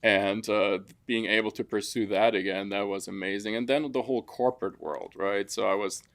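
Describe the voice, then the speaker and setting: A man's voice: on the low side, fairly even intonation, normal speed, a bit bright. A man from Germany with a German accent, telling personal stories casually as an interview guest. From Frankfurt, Germany. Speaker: interview guest, construction business founder.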